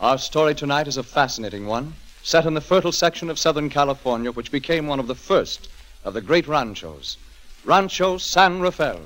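A man's voice: on the high side, animated, brisk, a bit bright, low-quality recording. An American man narrating a 1940s radio drama as its host, in a dramatic, declamatory scripted style. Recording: old 1940s radio broadcast.

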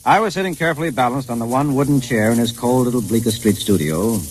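An actor playing a wry, fussy sophisticate narrating a 1950s radio drama. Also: male voice, mid-range voice, quick pace, faint music behind, close to an everyday voice, poor audio. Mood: wry, confiding. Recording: hissy 1950s radio recording.

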